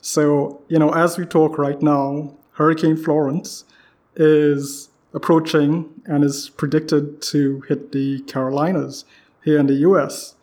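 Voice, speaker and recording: The host of a laid-back interview podcast, a man with a lightly raised pitch, chatting informally with his guest. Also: flat delivery, slow, darkish tone. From Trinidad. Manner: informal, peppered with "you know". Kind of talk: casual conversational interview.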